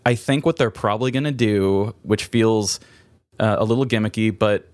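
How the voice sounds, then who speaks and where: A man's voice: fairly low pitch, normal speed, a little mellow, no echo. A man hosting a podcast, chatting casually about films in an informal, opinionated way with casual swearing. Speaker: podcast host. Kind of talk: casual movie chat.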